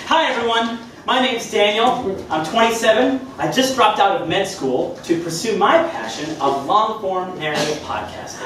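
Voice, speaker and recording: A young man playing an earnest, pretentious millennial amateur chef in live sketch comedy, speaking in a halting, self-important way in a theater. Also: high voice, lightly acted. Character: earnest pretentious twenty-something male amateur chef, storyteller. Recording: echoey theater, distant mic, room noise.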